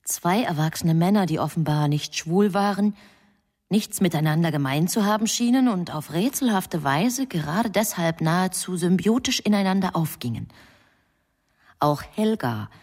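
An actress playing the composed, knowing narrator of a literary radio drama, speaking in a measured, deliberate way. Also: female voice, lowish voice, animated, a little mellow.

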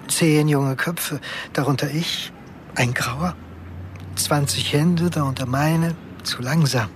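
An actor playing a weary, self-deprecating office worker in his fifties, speaking with resigned pauses in a radio drama. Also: a little high, a little hiss or hum. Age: 50s. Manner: deliberate, pausing, resigned.

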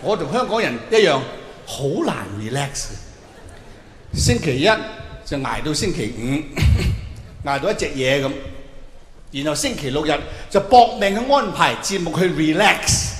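High-voiced Hong Kong man doing stand-up, echoey hall. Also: a little slow. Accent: Hong Kong Cantonese. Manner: animated, mimics characters in mock dialogues, rhetorical questions. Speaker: stand-up comedian. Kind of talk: live stand-up comedy, observational storytelling with audience banter. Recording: echoey hall with long reverb, faint noise.

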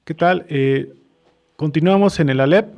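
A man's voice: fairly high pitch, unhurried, creaky, dull audio. A Mexican man hosting a conversational radio interview. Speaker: radio host.

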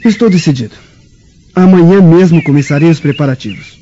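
An actor plays a lovesick, dutiful young Roman in a radio drama. His Brazilian voice is soft and mellow, a little high for a man. He speaks formally and earnestly on a slightly muffled recording. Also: brisk, slight background noise, close to an everyday voice. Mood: earnest, resolved. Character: lovelorn, brooding young Roman soldier, dutiful son.

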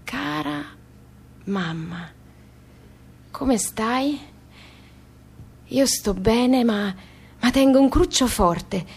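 An actress playing an anxious, defiant young daughter in a radio play, with a southern Italian accent and colloquial, halting, repetitive phrasing. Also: female voice, a little high, animated, creaky, husky, exaggerated voice. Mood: worried, pleading. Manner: colloquial, hesitant, repeating phrases emphatically. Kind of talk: stage-like radio theatre dialogue.

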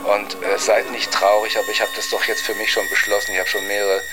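A man telling a story informally in an unhurried, rambling way. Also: on the low side, level tone, over loud music. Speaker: joke teller. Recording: very noisy location recording.

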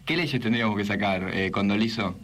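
A man's voice: fairly low pitch, a little fast, a bit bright. A man with a Buenos Aires accent hosting a comic radio interview, polite and hesitant, often repeating himself. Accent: Argentine, Buenos Aires. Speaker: radio host. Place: radio studio.

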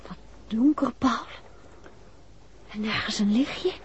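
A woman acting a detective's uneasy, observant wife in a 1960s Dutch radio drama, speaking hesitantly. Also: on the high side. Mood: hushed, uneasy. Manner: slow, hesitant, repeating words. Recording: noisy old 1960s radio recording.

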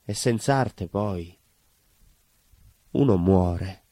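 An actor playing a bitter, obsessive southern Italian baker in a theatrical monologue, husky and emphatic, repeating himself and dropping low. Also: male voice, drawn-out words, warm tone, dry recording, full character voice. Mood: brooding. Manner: emphatic repetitions, dropping to near whispers.

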